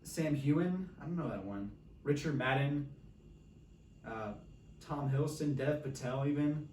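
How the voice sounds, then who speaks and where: A man's voice: a little high, measured pace, darkish tone. A man hosting a podcast, chatting casually about films. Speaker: podcast host. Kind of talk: casual film discussion. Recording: roomy, distant mic, faint noise.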